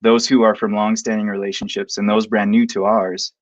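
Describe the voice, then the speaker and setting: Man, professor, from Montana, low-pitched, scripted and heartfelt, dry, dull lo-fi audio. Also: little pitch movement, no room sound. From Montana, United States. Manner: measured, heartfelt, reading from a script. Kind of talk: prepared introduction read aloud. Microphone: video call.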